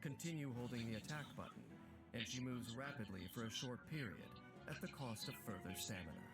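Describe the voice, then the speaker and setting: Guy narrating a game character trailer, formal polished read, mid pitch, music clearly under it. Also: fairly quick. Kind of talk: scripted narration.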